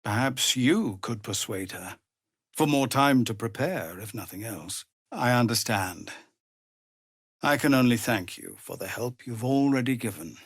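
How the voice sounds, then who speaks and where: Husky, grave fantasy refugee leader. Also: male voice, mid-range voice, expressive, dry recording, clean studio sound. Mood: weary, grateful. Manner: grave, measured, formal. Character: weary, honourable male tiefling ex-paladin leader of refugees. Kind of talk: dramatic fantasy game voice acting.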